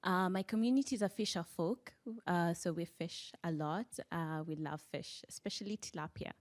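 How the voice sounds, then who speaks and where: A woman from western Kenya with a Kenyan accent, telling a personal story as a panelist in a measured way with pauses. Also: fairly low pitch.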